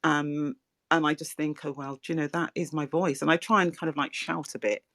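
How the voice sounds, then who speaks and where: A woman hosting an interview in an online audio room, soft-voiced and eager. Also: low voice, steady tone, fairly quick. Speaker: host. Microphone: remote audio-chat connection.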